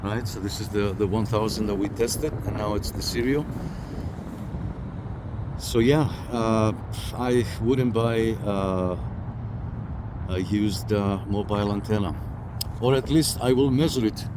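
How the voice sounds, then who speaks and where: A man's voice: on the low side, a little slow, pauses often. A man giving a casual hands-on product review as a video host, talking informally and directly to viewers on a handheld camera. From Canada. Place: outdoors in a parking lot and inside a parked car. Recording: noisy outdoor recording with car sounds.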